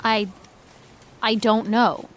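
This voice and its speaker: A woman's voice: a little high, little pitch movement, slow pace. A woman playing a reluctant, nerdy young fan in an audio drama, nervous and defensive, stammering as she hesitates. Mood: flustered. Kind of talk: naturalistic audio drama dialogue.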